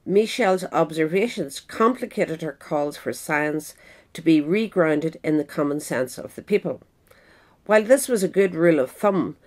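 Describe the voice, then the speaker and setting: A woman reads a scripted biographical essay aloud in measured, deliberate phrases. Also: low-pitched. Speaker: reader of an essay.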